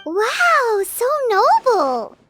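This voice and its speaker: Casting: a tiny floating fairy sidekick, squeaky and very high, bouncy delivery over game music. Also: female voice, noisy background, exaggerated voice. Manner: lively, quick to react and ask questions. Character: tiny floating female fairy companion, chirpy and blunt. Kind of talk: video game voice acting.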